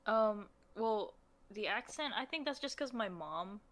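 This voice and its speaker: A woman's voice: medium pitch, animated, measured pace, a bit bright. A young woman from the Philippines chatting casually as a podcast co-host, often laughing and trailing off mid-sentence. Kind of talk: casual chat.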